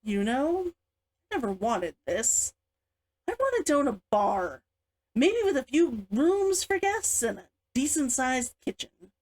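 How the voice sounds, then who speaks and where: Woman voicing a stern elder sister, high-pitched. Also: big pitch swings, slow, heavily acted. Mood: weary. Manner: growling, muttering, scolding. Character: stern, exasperated elder sister, dutiful family matriarch.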